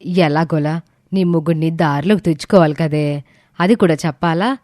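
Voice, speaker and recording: A woman voicing a sharp-tongued, exasperated mother-in-law who scolds sarcastically in an audio story. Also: deep voice, normal speed, dry recording, studio quality, natural voice.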